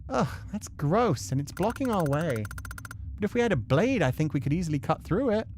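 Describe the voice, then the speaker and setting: A man's voice: fairly high pitch, big pitch swings, brisk, darkish tone, a little hiss or hum, pitched above the performer's own voice. A man reading game dialogue as an eager, dramatic young male visual novel character, with emphatic theatrical delivery. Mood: disgusted, urging.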